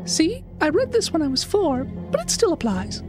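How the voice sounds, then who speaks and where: Woman as a high-pitched, precocious little girl. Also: very animated, moderate pace, over music. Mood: eager, matter-of-fact. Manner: pedantic, formal, articulate. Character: precocious, bookish little girl, coolly inquisitive. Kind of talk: acted audio story dialogue.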